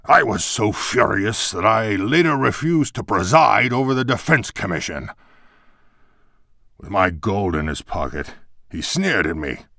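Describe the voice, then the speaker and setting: Slow, pompous old-time senator. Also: male voice, fairly low pitch, animated, gravelly, a bit bright, no room sound, clean studio sound, exaggerated voice. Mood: pompous, rueful. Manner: pompous, measured. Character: pompous old-time senator, wry, self-important memoirist.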